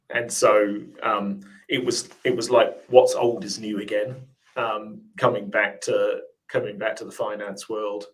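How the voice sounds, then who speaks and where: A man's voice: on the low side, rough recording. A man with a mixed English and Australian accent, a podcast guest talking reflectively, with many ums and restarts. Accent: English-Australian mix. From England. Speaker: podcast guest, finance officer. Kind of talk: casual interview chat.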